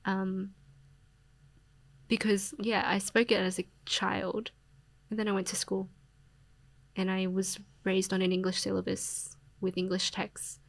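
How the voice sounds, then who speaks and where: A young woman with an Australian accent, speaking reflectively as a podcast guest. Her voice has a slightly gravelly, creaky texture at a middle pitch. It is recorded close and dry, with no room sound. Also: monotone, measured pace, long pauses, no room sound. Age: young adult. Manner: reflective, with frequent fillers and soft laughs. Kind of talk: casual interview conversation.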